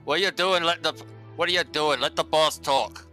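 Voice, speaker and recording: Sharp, scolding adventurer, played by a man. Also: fairly high pitch, moderate pace, soft background music. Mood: exasperated.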